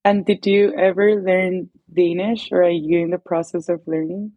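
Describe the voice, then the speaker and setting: Woman, young adult, from Venezuela, native Spanish, low pitch, rambling filler-heavy talk, dry close sound. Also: fairly even intonation, moderate pace, dry recording, phone-quality sound. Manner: rambling, many filler likes and restarts. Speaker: guest. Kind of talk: casual conversation.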